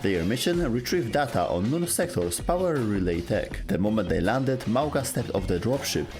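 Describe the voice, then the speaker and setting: A man's voice: lowish voice, lively intonation, normal speed, over music. A man narrating a scripted story as a dramatic storyteller, in short clipped phrases.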